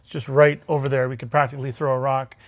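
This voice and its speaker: A man hosting a live talk show interview, chatty and halting, with many restarts as he looks for words. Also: mid-range voice, flat delivery, no echo. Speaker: host. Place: theatre stage, live show.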